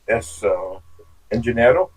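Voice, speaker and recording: A man in his sixties with a Canadian English accent, a native English speaker doing a beginner oral exercise haltingly. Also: medium pitch, lively intonation, husky, rough recording. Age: 60s. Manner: halting, searching for words. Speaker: beginner Spanish student.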